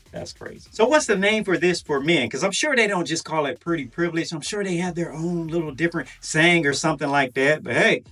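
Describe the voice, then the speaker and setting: Man, high voice, lively, bright tone, joking commentary, distant mic, faint music underneath. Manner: joking, chuckling asides. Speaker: reaction video host. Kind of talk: casual commentary over clips.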